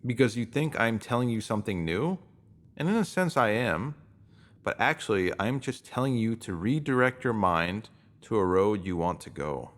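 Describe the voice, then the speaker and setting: A man's voice: fairly low pitch, lively intonation, normal speed. A man reading a scripted self-help piece, addressing the listener directly. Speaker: reader of written posts.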